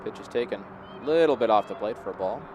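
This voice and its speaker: A man's voice: lowish voice, expressive, quick pace, highs cut off. A man giving live play-by-play baseball commentary as the game unfolds. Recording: clear outdoor background noise.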